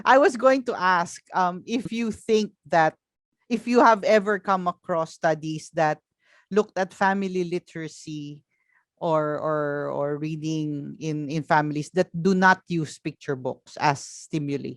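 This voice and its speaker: A woman moderating an academic roundtable, speaking in a measured, formal and polite way. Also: low voice, no echo, clean studio sound. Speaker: panel moderator.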